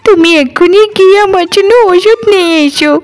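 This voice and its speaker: Cartoon role: sick young village daughter performed by a woman, tiny very high voice, complaining and suffering. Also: fairly quick, soft background music. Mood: suffering, plaintive. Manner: whining, plaintive. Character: sick, plaintive village girl begging her mother. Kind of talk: Bengali cartoon dialogue.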